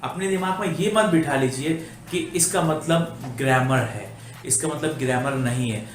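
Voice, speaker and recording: A man's voice: medium pitch, moderate pace, a little hiss or hum. A man giving an earnest English lesson in Urdu, slipping English phrases into his talk. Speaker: English teacher. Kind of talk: spoken English lesson vlog. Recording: echoey room with faint music.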